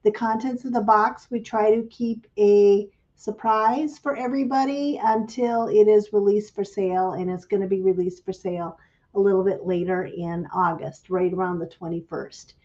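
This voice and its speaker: Slightly raspy Midwestern woman livestreaming. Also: mid-range voice, fairly even intonation, normal speed, warm tone, muffled recording. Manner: friendly, greets viewers by name. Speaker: craft business owner hosting a livestream. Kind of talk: casual livestream chat.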